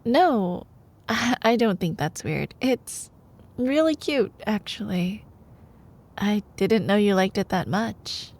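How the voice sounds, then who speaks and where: A young woman playing a sweet, teasing girlfriend in an intimate ASMR roleplay, soft and playful, sometimes dropping toward a breathy whisper. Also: medium pitch, expressive, takes it slowly. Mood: playful, affectionate. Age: young adult. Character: sweet, teasing young girlfriend, a sleepy-hours college student. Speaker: ASMR voice performer.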